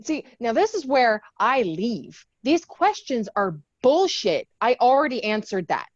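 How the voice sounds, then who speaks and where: A woman's voice: on the high side, very animated, measured pace, dry recording, highs cut off. A woman reading the part of a tough, guarded young female Marine lieutenant in a screenplay table read, with blunt, sardonic delivery. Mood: angry. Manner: blunt, swearing, defiant.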